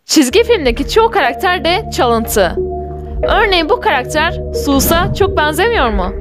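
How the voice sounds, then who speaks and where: A woman's voice: very high-pitched, big pitch swings, normal speed. A woman reading an enthusiastic scripted video narration, speaking directly to viewers. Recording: voice-over with music underneath. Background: background music.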